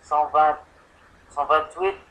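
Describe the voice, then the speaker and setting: A man with a bright, fairly high voice talks over a telephone line. He sounds like a muddled customer, rambling and hesitating as he goes through an improvised prank call. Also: monotone, slow pace, a little hiss or hum. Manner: hesitant, rambling, playing confused. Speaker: prank caller posing as an insurance customer.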